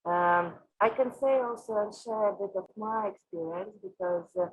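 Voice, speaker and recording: A woman from Russia with a Russian accent, answering interview questions as a company executive, haltingly and with frequent filled pauses. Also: medium pitch, breathy edge, poor audio. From Moscow, Russia. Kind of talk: interview answers on an online panel.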